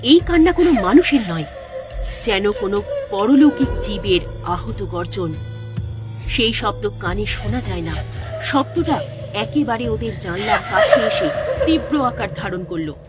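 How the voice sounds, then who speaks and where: A woman's voice: mid-range voice, sing-song, measured pace, over loud music. A woman narrator reading a scripted horror story in a dramatic style.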